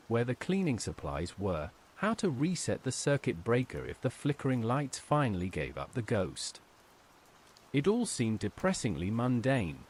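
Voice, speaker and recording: A man narrates a scripted horror tale in the first person. His mid-pitched voice rises and falls with lively variation, over faint rain. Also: a little hiss or hum.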